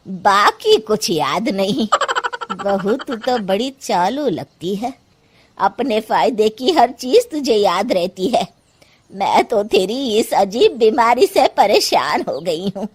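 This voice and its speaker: A woman's voice: medium pitch, big pitch swings, takes it slowly, breathy edge, exaggerated voice. A woman playing an exasperated, scheming older mother-in-law with a scolding, sarcastic manner in a comic story. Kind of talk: animated comic story dialogue.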